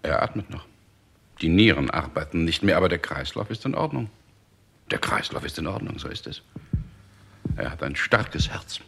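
An actor in a 1960s German radio play playing a cold, blunt court physician, gravelly and clipped. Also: male voice, sits low, expressive, moderate pace, pauses often, fairly bright tone, heavily acted. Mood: clinical, brisk.

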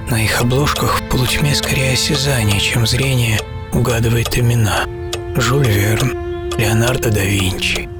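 Theatrical male narrator over loud music. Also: medium pitch. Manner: theatrical, measured, with pauses. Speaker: author and narrator of a radio programme. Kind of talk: dramatised first-person scripted narration.

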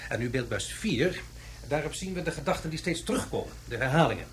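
A man's voice: a little high, lively intonation, fast talker, a little hiss or hum, natural voice. Actor as fussy, pedantic male professor. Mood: eager, explaining. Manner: hesitant, explanatory. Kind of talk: radio drama acting.

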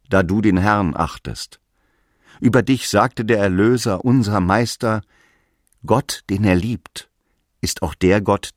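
Grave, fervent apostle in a low voice. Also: male voice, dry recording, clean studio sound. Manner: declamatory, grave, exhorting. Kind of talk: solemn radio drama monologue in archaic scriptural language.

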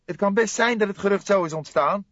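A man voicing an agitated, eager young police detective in an audiobook, with excitable, emphatic delivery. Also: high voice, a little fast, no room sound, dull audio, voice pushed up. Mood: pressing.

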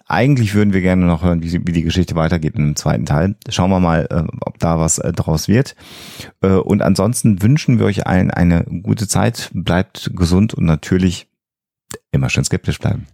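A man with a low, gravelly voice chats casually as a podcast co-host. His tone is mellow, and he pauses often to find his phrasing. The sound is close and dry. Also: dry recording.

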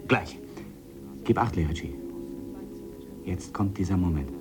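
An actor playing a driven, excitable scientist in a radio drama, with an urgent, commanding manner. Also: male voice, low-pitched, measured pace, heavy background noise. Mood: tense, absorbed. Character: driven, excitable male scientist absorbed in a daring experiment. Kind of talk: 1970s radio drama acting.

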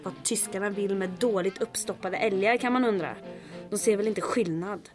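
A woman's voice: medium pitch, a little mellow, soft background music, close to an everyday voice. Actress as bold woman captain in radio drama. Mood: dry, resolute. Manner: brisk, commanding, dryly teasing. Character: bold, commanding woman resistance captain, dryly witty saboteur. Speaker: lead character, captain. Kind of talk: old-time radio drama acting.

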